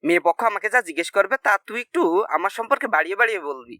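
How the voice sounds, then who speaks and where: A man voicing an anxious young bridegroom-to-be with a hurried, nagging manner in a comedy cartoon. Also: high voice, lively intonation, noisy background. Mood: excited, bossy. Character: anxious young bridegroom-to-be, scolding his foolish friend.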